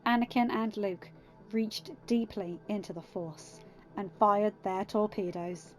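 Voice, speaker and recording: A woman's voice: mid-range voice, unhurried, darkish tone, soft background music. A woman reading scripted fan fiction narration as an audiobook reader.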